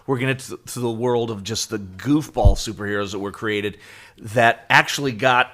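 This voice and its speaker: A middle-aged man co-hosting a podcast in casual chat, explaining things in a halting way with repeated words. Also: lowish voice, fairly bright tone. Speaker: podcast co-host.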